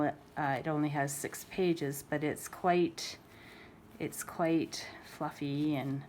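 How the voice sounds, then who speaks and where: A woman with a head cold and a stuffy voice, chatting casually as she shows off a handmade journal, with lots of soft fillers and restarts. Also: sits low, slight background noise. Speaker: crafter showing a handmade journal. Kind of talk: casual show-and-tell vlog.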